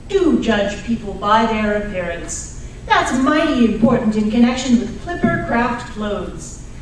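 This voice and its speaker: A woman playing a polished, friendly radio announcer delivering a sponsor's pitch in 1940s style. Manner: polished, salesy, chatty. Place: live performance at a radio club event. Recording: roomy, distant live stage recording with hiss.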